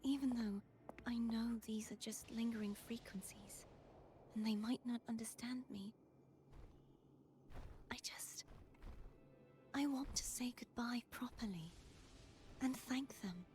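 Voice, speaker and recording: A woman playing a gentle, grieving young warrior in a video game, speaking earnestly in a soft, breathy, halting way. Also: on the high side. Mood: sorrowful, hesitant. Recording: background music under dialogue.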